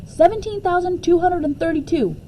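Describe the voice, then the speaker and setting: A woman's voice: very high voice, a little fast, warm tone, slight background noise, pitched above the performer's own voice, rough recording. A woman voicing a self-assured, matter-of-fact second-grade girl who is a math whiz, in a storybook read-aloud.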